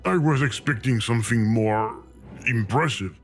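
A man's voice: medium pitch, normal speed, faint music behind, lightly acted. A man voicing an impatient, exasperated, bossy spirit guide in a video game, dramatic and sardonic. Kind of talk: video game character acting.

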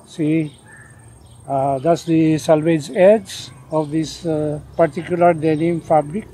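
An older man showing off his denim jacket outdoors, talking casually with lots of pauses and "uh" fillers. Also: fairly high pitch, steady tone, warm tone, a little hiss or hum. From Philippines. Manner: unhurried, many "uh" fillers and restarts. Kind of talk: casual show-and-tell. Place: outdoors in a leafy garden.

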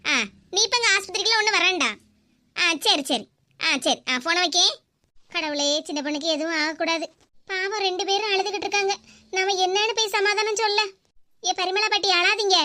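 A woman voicing a kindly neighbor who calmly comforts a worried family. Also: very high voice, fairly quick. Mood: soothing. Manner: soothing, reassuring.

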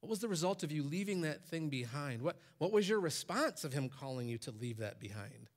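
A man in his forties, a pastor, preaching from a church stage into a headset mic. His voice sits a little high for a man, and it climbs higher and louder whenever he quotes a command. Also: animated, fairly quick. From Michigan, USA. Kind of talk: sermon.

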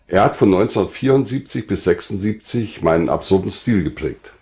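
A man's voice: sits low, expressive, fairly quick, darkish tone. An older man in his sixties or beyond, from eastern Germany, reading a scripted autobiographical narration. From Lusatia, eastern Germany. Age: 60s or older. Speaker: writer and former pastor telling his own story.

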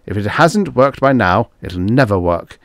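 A man's voice: lowish voice, lively intonation, measured pace, no echo, studio quality, pitched above the performer's own voice. A man voicing a hot-tempered older doctor who snaps indignant accusations in a dramatic story reading. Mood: snappish. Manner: snapping, indignant.

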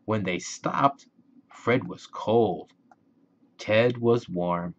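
A man reading a children's storybook aloud in a deliberate, expressive way for young listeners. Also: lowish voice, lo-fi sound, slight background noise. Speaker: children's book reader.

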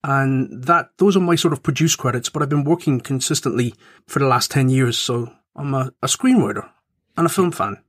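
Give me a measured man, mid-pitched and recorded with no room echo. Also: a bit bright, studio quality. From Ireland, Cork. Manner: measured, informative, builds up clues. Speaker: podcast co-host, screenwriter. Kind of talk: casual chat with storytelling.

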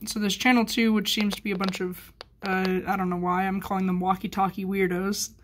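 A woman's voice: medium pitch, level tone, a little fast, slight background noise. A woman chatting informally in a hobby vlog, rambling and thinking aloud as she goes. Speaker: hobbyist video maker. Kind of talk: casual vlog chat.

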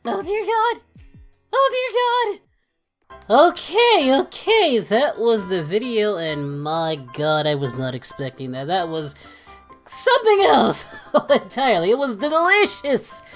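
A woman voicing an excitable, goofy boyish cartoon hero, hosting a casual reaction video with theatrical exclamations. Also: fairly high pitch, slow pace, soft background music. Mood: excited, shrieking, then flustered, amused. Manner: theatrical, exclamatory, prone to squeals.

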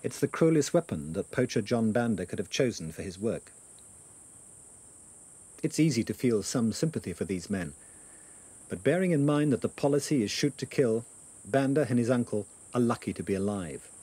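A man narrating a documentary in a measured, grave voice. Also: medium pitch, animated, warm tone, slightly rough.

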